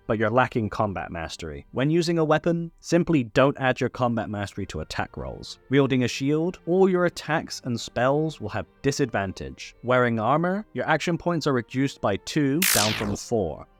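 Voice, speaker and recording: Man narrating a tutorial, lively intonation. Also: medium pitch, normal speed, hardly any pauses. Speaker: tutorial host. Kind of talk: scripted explainer narration. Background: background music.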